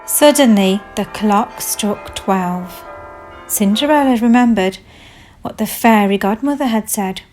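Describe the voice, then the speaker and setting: A woman narrating a children's storybook in a measured, expressive read-aloud manner. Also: mid-range voice. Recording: music behind the voice. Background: music.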